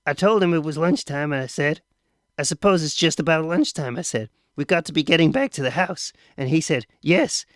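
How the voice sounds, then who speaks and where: A man's voice: on the high side, lively intonation, a little fast, no echo, studio quality, pitched above the performer's own voice, lightly acted. A man reading aloud voices a talkative, nervous boy who rambles and defends himself. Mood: defensive.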